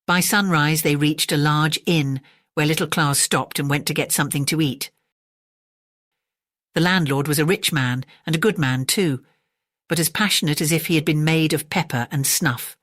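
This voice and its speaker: A storyteller narrates a classic fairy tale in audiobook fashion. The pitch sits in a middle range. The sound is dry, with very little room in it. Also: fairly bright tone, dry recording.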